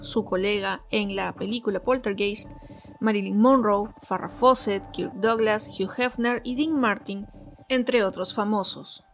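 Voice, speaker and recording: A woman narrating a scripted true crime story as host, in a measured, clearly phrased way. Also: fairly high pitch. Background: background music.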